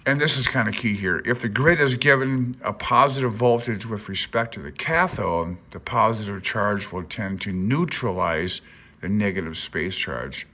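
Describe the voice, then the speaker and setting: Low-pitched, hesitant middle-aged man. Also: normal speed, bright, forceful tone. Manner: hesitant, self-deprecating, thinking aloud. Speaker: amateur radio hobbyist explaining a handbook. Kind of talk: informal read-along tutorial, alternating reading aloud and commentary.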